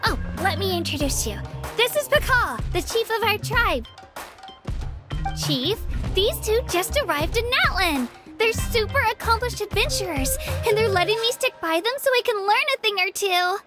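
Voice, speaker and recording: Super high little girl adventurer, eager and friendly, chatty game character, music playing clearly behind. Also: expressive, moderate pace. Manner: earnest, enthusiastic, chatty. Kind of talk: video game voice acting.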